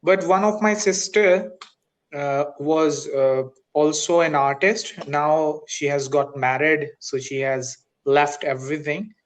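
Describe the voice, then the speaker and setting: A man from Pakistan with a Pakistani accent, an English teacher chatting politely on a video call from a school, with frequent pauses and fillers. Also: fairly high pitch, fairly even intonation. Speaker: English teacher, channel host. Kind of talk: casual video-call conversation. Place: school, indoors.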